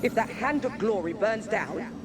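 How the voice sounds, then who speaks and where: A man's voice: high-pitched, lively intonation, normal speed, noisy background. An actor playing an irritable, callous male cultist slaver in a video game, with a curt, complaining manner. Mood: annoyed.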